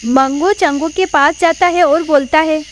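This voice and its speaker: A woman giving scripted narration for an animated horror story. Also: high-pitched, a little slow, a little hiss or hum.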